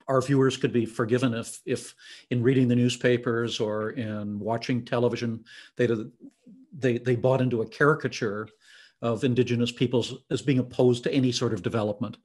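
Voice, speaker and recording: An older man hosting an interview over a video call from his office, asking questions in a measured, articulate way. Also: medium pitch, darkish tone. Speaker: host. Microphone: video call webcam.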